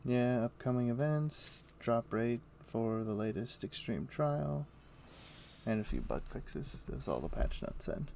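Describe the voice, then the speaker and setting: A man livestreaming a game, chatting casually and thinking aloud in a rambling, halting way. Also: mid-range voice, expressive, gravelly, darkish tone, slight background noise. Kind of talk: casual chat while playing an online game. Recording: music playing behind. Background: music.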